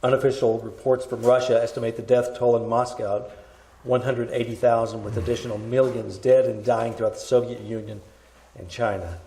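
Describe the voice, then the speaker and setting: A man playing a grave radio newscaster who reads emergency bulletins in a formal, measured way. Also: medium pitch, little pitch movement, mellow, lightly acted. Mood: grave, urgent. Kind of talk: radio news bulletin acting. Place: live staged performance at a winery. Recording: roomy live stage performance.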